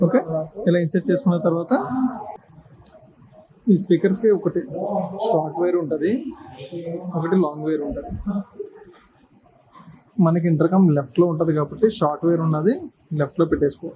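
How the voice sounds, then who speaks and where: A man's voice: on the high side, steady tone, brisk, long pauses, dull audio, crowd noise. A man giving a casual hands-on tutorial, explaining step by step in short instructional phrases. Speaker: presenter of a how-to video.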